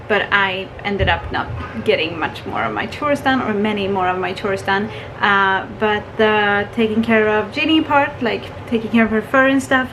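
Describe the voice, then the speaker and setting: A woman's voice: mid-range voice, background noise. Chatty woman vlogging at home. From Sweden. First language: Swedish. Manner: chatty, laughs often, loose fillers. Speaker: family vlogger. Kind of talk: casual vlog chat. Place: family home, indoors. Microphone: handheld camera at arm's length.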